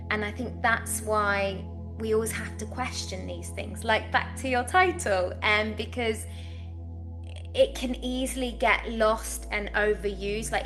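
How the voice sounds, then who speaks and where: An earnest, effusive woman hosts a heartfelt podcast conversation at a middle pitch, feeling her way through her sentences. Clear music plays behind her voice throughout. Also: a bit bright. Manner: earnest, effusive, searching for words. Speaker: podcast host.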